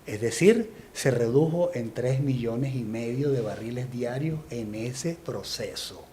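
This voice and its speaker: A man's voice: mid-range voice, unhurried, slight background noise. A man born in Venezuela giving emphatic political commentary as an interview guest, explaining at length and stacking up his points. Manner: forceful, explanatory, builds lists of accusations. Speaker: guest analyst.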